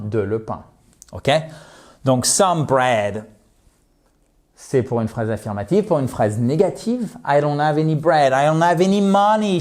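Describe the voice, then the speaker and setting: French man teaching English, lively and clear. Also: fairly high pitch. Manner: lively teacher, stresses and spells English words slowly, checks in with "ok?". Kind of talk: video lesson, explaining to viewers.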